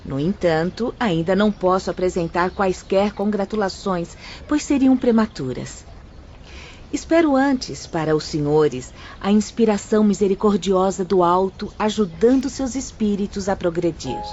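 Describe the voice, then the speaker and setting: A gentle female spirit guides newcomers through her department with calm, kindly explanations, as a woman plays her. She speaks in an audio drama at a middling pitch. The recording sounds a little dull, with a faint hiss underneath. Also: normal speed, natural voice. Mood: patient. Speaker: instructor guiding new apprentices.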